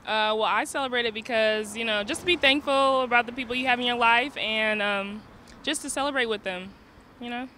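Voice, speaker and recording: A person answers a street interviewer with uncertainty, trailing off at the ends of sentences. The voice is bright, with faint street ambience and passing vehicles behind. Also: mid-range voice, brisk, slight background noise. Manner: unsure, trailing off.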